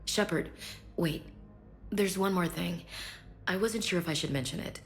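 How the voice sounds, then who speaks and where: A woman's voice: on the low side, unhurried. A woman playing a guarded, frank soldier with a wry streak in a video game. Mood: subdued, troubled.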